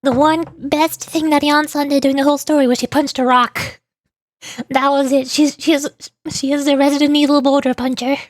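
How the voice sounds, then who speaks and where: A woman streamer chatting casually about a video game in a rambling, playfully exasperated way, often halting and repeating words. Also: high voice, level tone, no room sound. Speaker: streamer, VTuber. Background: background music.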